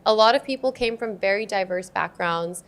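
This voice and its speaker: A woman's voice: medium pitch, normal speed. A young woman from Pakistan, a podcast guest, talking in a reflective, casual way and switching between English and Hindi-Urdu. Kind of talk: casual interview chat.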